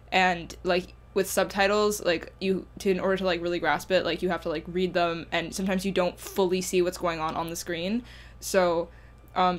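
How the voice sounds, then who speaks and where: A woman giving a casual spoken review of a music video, talking in a halting way full of fillers and restarts. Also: on the low side.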